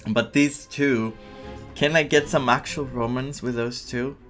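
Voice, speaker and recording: A man's voice: mid-range voice, steady tone, unhurried, fairly bright tone. Guy streamer reacting to a game, chatty n informal, takes his time, faint music in the back. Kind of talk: casual playthrough commentary.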